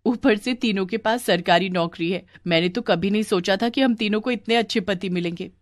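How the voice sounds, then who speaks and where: A woman voicing a dramatic, excitable young bride-to-be in a cartoon family story. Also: mid-range voice, brisk, no echo, clean studio sound, close to an everyday voice. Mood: excited. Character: excited, giggly young bride-to-be, grumbling about relatives. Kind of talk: animated Hindi family story acting.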